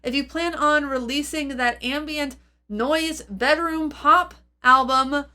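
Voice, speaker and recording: A woman with a fairly high, very bright voice talks through a product review. Her delivery is informal and unscripted, wandering along and doubling back on itself as she addresses her viewers. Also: slow pace. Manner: informal, rambling, hesitant and self-interrupting. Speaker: host of a guitar effects pedal review channel.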